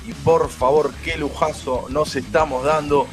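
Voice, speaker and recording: A man, hosting a radio show and chatting excitedly over a remote call. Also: fairly high pitch, music in the background. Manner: fast, enthusiastic, slangy. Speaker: radio host. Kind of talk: casual radio chat.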